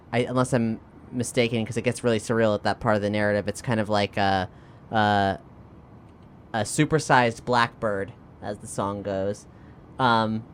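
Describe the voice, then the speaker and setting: A man's voice: fairly low pitch, slight background noise. A man chatting casually and thinking aloud as a participant in a tabletop role-playing game.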